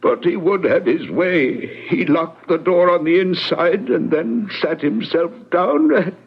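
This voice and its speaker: An actor playing a loyal, elderly manservant in an old-time radio drama, pleading and deferential in a halting manner. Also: male voice, high voice, expressive, vocal fry, breathy edge, full character voice. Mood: distraught. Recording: dull lo-fi radio drama recording.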